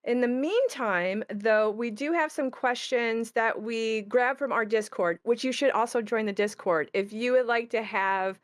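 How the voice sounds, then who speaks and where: A woman's voice: fairly high pitch, a little slow, hardly any pauses, no echo, clean studio sound. A woman who is a game creator, hosting a casual question-and-answer chat.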